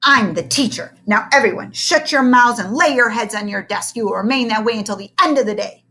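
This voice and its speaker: A woman's voice: on the high side, fairly quick, bright, forceful tone, voice pushed up. Woman putting on a growling stern old schoolteacher. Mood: menacing. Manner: growling, barking commands. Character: stern gruff old male schoolteacher, menacing.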